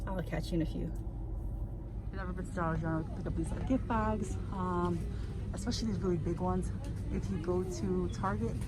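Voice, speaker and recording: A young woman with a raspy voice chatting casually with many fillers for her vlog while out driving and shopping. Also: lowish voice, steady tone, normal speed. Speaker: vlogger, stay-at-home mom. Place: in a car on the road, then in stores. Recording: loud car and road noise. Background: traffic and car noise.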